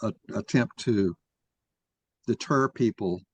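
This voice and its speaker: A man's voice: fairly low pitch, slow pace, husky, no echo, muffled recording. A man moderating a mock trial discussion and arguing his own view as he goes. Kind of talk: argumentative discussion.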